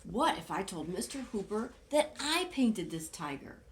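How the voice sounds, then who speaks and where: A woman voicing an earnest, boyish storybook character with a conscience, in an emotional, expressive manner. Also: medium pitch, unhurried, slight background noise. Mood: worried.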